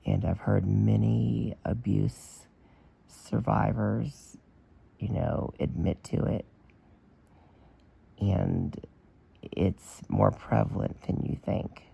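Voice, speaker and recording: A man with a badly hoarse, strained voice holds forth in a slow, unhurried vlog. His tone is dark and heavily gravelly, with a raspy rough edge throughout. He talks bluntly, in a plain opinionated way, with fillers. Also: lowish voice, steady tone, poor audio. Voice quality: damaged, strained voice. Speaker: vlogger. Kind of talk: casual commentary to camera.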